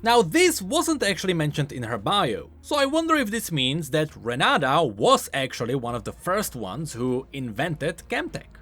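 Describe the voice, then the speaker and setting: A man's voice: a little high, huge pitch swings, normal speed, hardly any pauses, fairly bright tone, faint music behind. A man giving scripted explainer commentary as a video host, in an explanatory, emphatic manner.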